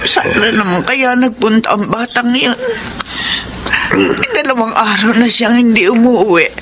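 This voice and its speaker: Frail sick old parent, high voice, radio drama, really noisy audio. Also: male voice, unhurried. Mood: worried. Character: weak, ailing, worried elderly parent. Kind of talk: Filipino radio drama acting.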